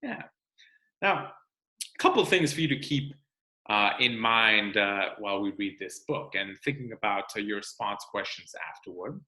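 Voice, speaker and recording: A man reading a book aloud to children like a teacher, expressive and instructional, pausing to explain. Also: fairly low pitch. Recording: roomy, echoing sound.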